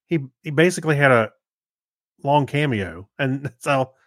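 A man co-hosting a podcast, giving casual opinions in a halting, meandering way. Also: mid-range voice, animated, a bit bright, no room sound, clean studio sound. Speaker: podcast co-host. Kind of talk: casual chat, informal film review.

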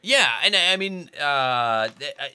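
A man's voice: fairly high pitch, expressive, a little slow, pushed and bright, clear, resonant tone. A middle-aged man chatting casually as a podcast guest, telling stories in a self-interrupting way.

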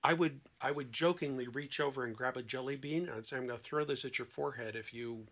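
Male therapist podcast guest, mid-pitched with vocal fry, emphatic conversational storyteller. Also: quick pace, fairly bright tone. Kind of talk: conversational explanation with anecdotes and acted-out quotes.

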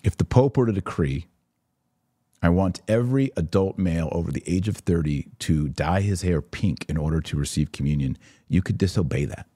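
Deep-voiced, bright man, emphatic commentator. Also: lively intonation, moderate pace, breathy edge, dry recording, clean studio sound. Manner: emphatic, didactic, conversational. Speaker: podcast host and commentator. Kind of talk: live religious commentary, answering listener questions.

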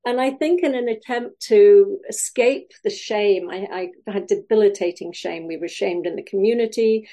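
A middle-aged woman from Wales, a podcast guest telling her story with many pauses, fillers and small laughs. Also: medium pitch, mellow. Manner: hesitant, many fillers and restarts, laughs often. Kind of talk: podcast interview.

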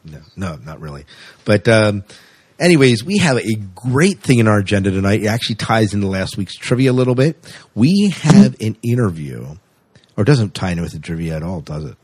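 A man's voice: fairly low pitch, sing-song, a little fast, darkish tone, breathy edge, no room sound. A man co-hosting a podcast in a casual, chatty, jokey way with rambling asides. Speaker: podcast co-host.

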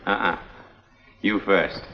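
An actor playing a shady smuggler guide in a 1950s crime drama, speaking in terse commands. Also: male voice, sits low, fairly even intonation, slow pace. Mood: terse, businesslike. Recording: noisy, muffled old soundtrack with long reverb.

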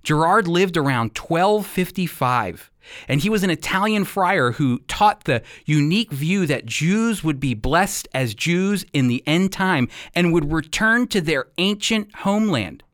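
A man's voice: a little high, animated, a little slow, fairly bright tone, no room sound, clean studio sound. A man presenting a radio program, explaining church history and scripture in an earnest, emphatic teaching manner.

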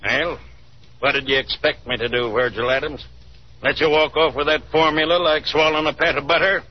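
Old radio drama part for a man: stern elderly tycoon, sarcastic bluster, rough voice, dull vintage broadcast recording. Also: a little high, steady tone, brisk, lightly acted. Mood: scornful, gloating. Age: older. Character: indignant elderly businessman, stern, scornful old boss. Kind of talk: 1930s radio drama acting.

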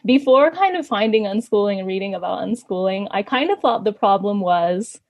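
A woman's voice: mid-range voice, animated, normal speed, creaky, no room sound. A woman in her thirties from Canada, chatting as an interview guest, laughing often between fillers and restarts. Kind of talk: casual conversational storytelling.

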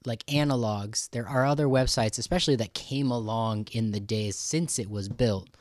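A man hosting a podcast in a casual, conversational way, halting as he thinks aloud. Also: mid-range voice, dry recording. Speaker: podcast host. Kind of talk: casual interview talk.

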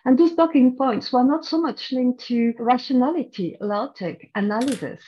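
A woman's voice: high-pitched, moderate pace. A middle-aged woman speaks with a French accent, patiently explaining her work as a guest coach. She thinks aloud and restarts her sentences as she goes. The sound is a little dull and muffled. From France. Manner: thoughtful, explanatory, with frequent restarts. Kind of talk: podcast interview.

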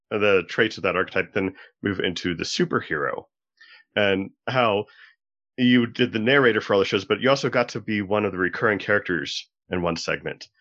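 A man's voice: lowish voice, fairly bright tone, muffled recording. A man hosting a podcast, interviewing in a conversational way. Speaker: podcast host.

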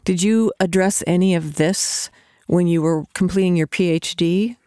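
A woman hosting an interview podcast, asking friendly, brisk questions. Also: on the low side, mellow, clean tone, no echo, studio quality. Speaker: podcast host.

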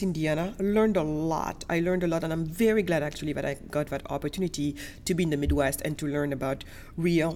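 A woman's voice: lowish voice, darkish tone, slight background noise. A woman from Senegal who later lived in Europe, speaking emphatically as an interview guest and telling personal stories, repeating words for stress. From Senegal, later Germany and France.

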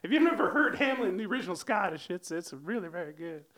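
A man's voice: high voice, very animated, fast, a bit bright, pitched below the performer's own voice. A man voicing a dopey male cartoon mouse hosting an improvised comedy show, drawling "man" at the end of lines. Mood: goofy. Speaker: host.

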